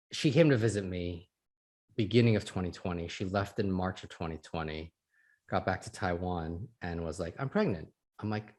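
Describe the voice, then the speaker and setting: A man in his thirties from Sacramento, a podcast guest telling his story in casual chat, laughing often. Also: sits low. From Sacramento, California. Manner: chatty, laughs often, quotes others in lively bursts.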